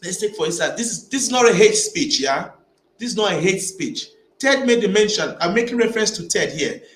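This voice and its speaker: A man's voice: high voice, expressive, a little fast, a bit bright. A man from Nigeria giving opinionated vlog commentary, emphatic and given to repeating phrases as he builds his argument. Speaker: vlogger. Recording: echoey room with faint ambient noise.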